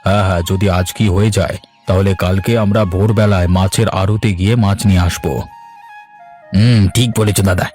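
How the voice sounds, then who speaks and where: A man's voice: low voice, steady tone, fairly quick, a little mellow, close to an everyday voice. A man playing a practical village farmer, one of several brothers, in cartoon dialogue. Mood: busy, willing. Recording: music under the voice. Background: instrumental music.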